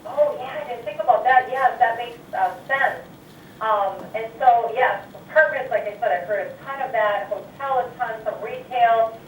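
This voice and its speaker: Chatty woman interviewer, slightly high, echoey. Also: recorded from a distance, slight background noise. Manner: chatty, quick questions and short affirmations. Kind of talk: phone interview.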